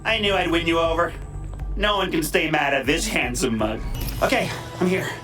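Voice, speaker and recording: A man's voice: on the high side, moderate pace, lightly acted. A man playing a cocky, crude, wisecracking vigilante hacker in a video game, theatrical and teasing, with mock voices. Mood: mocking, showy. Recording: loud music behind the voice.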